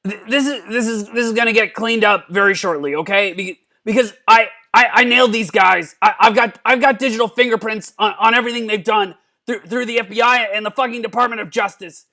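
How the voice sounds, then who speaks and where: A man voicing a belligerent, drunken old mad scientist in a cartoon-style comedic reading, gravelly and stammering, repeating himself and cursing. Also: high-pitched, a little fast, pushed and bright. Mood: agitated, boastful. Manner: stammering, repeating words, profane.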